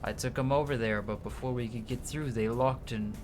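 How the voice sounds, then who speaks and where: A man's voice: mid-range voice, fairly even intonation, fairly quick. A man streaming a game, reading its dialogue aloud in a casual way and stumbling now and then, with side comments of his own. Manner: casual, stumbles and rereads as he goes. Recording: music behind the voice. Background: game music.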